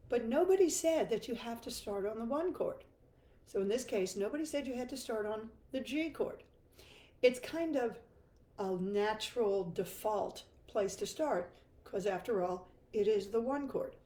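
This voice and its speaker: An older woman with a hoarse, froggy voice, teaching a chatty guitar lesson live from her home music room. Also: fairly high pitch, warm tone, reverberant. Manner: chatty, self-deprecating, laughs easily. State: hoarse from laryngitis.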